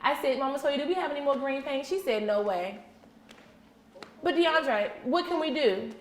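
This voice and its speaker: High-voiced woman teacher reading aloud, echoey room. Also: normal speed. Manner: repeats children's answers and asks prompting questions; encouraging, patient teacher talk. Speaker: preschool teacher. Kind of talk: interactive read-aloud to young children. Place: indoor classroom with children. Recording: echoey room with faint background noise.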